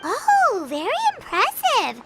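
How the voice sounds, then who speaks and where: Squeaky tiny fairy companion, very high. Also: female voice, wildly swooping pitch, slow, exaggerated voice. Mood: curious, perky. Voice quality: squeaky, childlike. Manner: perky, exclaiming, refers to self by name. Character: tiny childlike female fairy companion, chirpy and bossy. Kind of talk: video game voice acting. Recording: background music under the voice.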